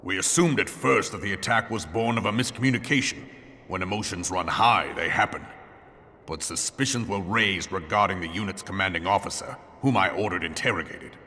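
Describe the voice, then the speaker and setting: An actor playing a stern, seasoned male general who speaks gravely in formal, old-fashioned phrasing, in a game cutscene. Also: sits low, brisk, pushed and bright. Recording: music score underneath.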